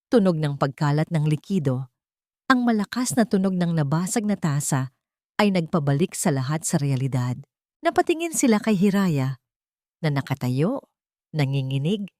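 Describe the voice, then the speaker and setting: A woman's voice: fairly low pitch, darkish tone, no room sound, studio quality. A woman narrating a dramatic scripted story.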